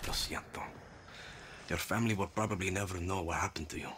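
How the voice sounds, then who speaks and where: A man's voice: deep voice, brisk, heavy background noise. A man talking to himself in short remarks, as a video game character.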